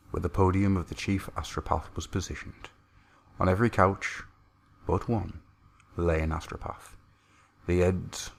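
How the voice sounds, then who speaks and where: A man's voice: sits low, vocal fry, husky. A man reading a science-fiction horror story aloud as a narrator, in a grave, theatrical way.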